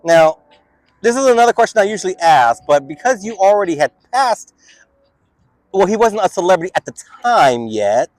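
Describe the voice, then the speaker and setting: A man's voice: high-pitched, big pitch swings, moderate pace, dry recording, low-quality recording. Friendly man, questions with restarts. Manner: friendly, hesitant, repeats words while framing questions. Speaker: student interviewer and show host. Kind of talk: casual interview.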